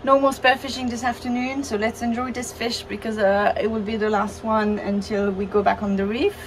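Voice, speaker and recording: A woman in her thirties chatting casually on a sailboat, relaxed and laughing easily. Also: fairly high pitch, a little fast. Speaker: sailing vlogger. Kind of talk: casual chat. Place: outdoors on a sailboat at sea. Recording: noisy outdoor camera audio.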